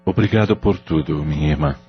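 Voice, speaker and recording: A man playing a tired, serious father who talks calmly and firmly in an audio drama. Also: a bass voice, a little fast. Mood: reassuring. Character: troubled father, middle-aged, tired and serious. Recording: dull lo-fi audio, faint music.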